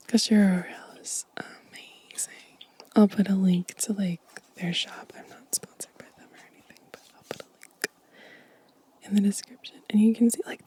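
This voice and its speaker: A woman whispering gently and softly in an ASMR show-and-tell style, breathy and unhurried. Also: medium pitch. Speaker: ASMR video creator.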